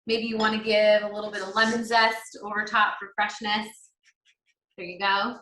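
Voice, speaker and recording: Bright, upbeat woman walking through a recipe. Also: mid-range voice, flat delivery, normal speed, reverberant, poor audio. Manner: upbeat, instructional, chatty. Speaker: guest demonstrating a recipe. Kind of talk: cooking demo chat on a video call.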